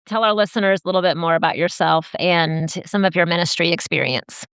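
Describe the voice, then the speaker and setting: A woman's voice: on the low side, a bit bright, smooth, no room sound. A woman co-hosting a podcast, upbeat and welcoming in casual interview chat. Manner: upbeat and welcoming, quick to laugh. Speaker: podcast co-host.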